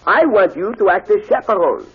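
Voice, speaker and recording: Proud Belgian sleuth: precise, French-speaking accent, lively intonation, narrow old radio sound. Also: male voice, high voice, normal speed, husky, full character voice. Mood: wry, courteous. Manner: formal, precise, polite. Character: courteous, proud Belgian detective, middle-aged man. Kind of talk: 1940s radio mystery acting. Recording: muffled, narrow-band old radio recording.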